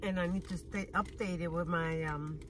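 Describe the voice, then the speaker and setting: A woman's voice: fairly low pitch, fairly even intonation, moderate pace, background noise. A woman in her thirties chatting casually while eating, filming a food review on her phone in a parked car. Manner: chatty, eating while talking, smacking sounds. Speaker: vlogger. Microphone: phone camera at arm's length.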